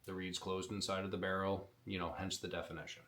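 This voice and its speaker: A man's voice: low voice, flat delivery, fast talker, background noise. A man explaining step by step, in a plainspoken way, how to blow a hunting call, teaching beginners on camera. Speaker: call maker teaching predator calling. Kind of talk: instructional demonstration.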